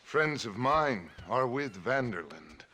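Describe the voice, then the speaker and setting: Stoic, forceful-voiced frontier guide. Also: male voice, medium pitch, very animated, slight background noise, exaggerated voice. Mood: grave, bitter. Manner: terse, measured, grave. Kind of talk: video game character acting.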